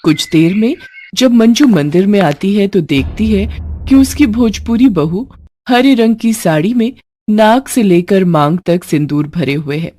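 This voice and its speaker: A woman storyteller giving expressive scripted narration. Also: on the low side, a little hiss or hum.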